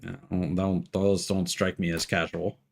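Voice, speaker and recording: A man's voice: deep voice, flat delivery, a little slow, creaky. A man streaming a video game with casual live commentary, musing aloud as he goes. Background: music behind the voice.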